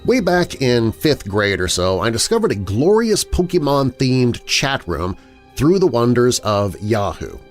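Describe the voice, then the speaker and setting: A man reading first-person scary stories as a narrator, in a dramatic, expressive storytelling manner. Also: medium pitch, a little slow. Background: background music.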